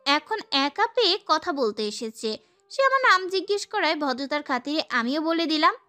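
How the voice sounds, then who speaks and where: A young woman playing a sassy, feisty girl who tells her own love story in an expressive, girlish way. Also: sits high, normal speed, soft background music, exaggerated voice. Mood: dramatic, musing. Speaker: narrator and lead actress. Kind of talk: dramatised first-person romance story narration.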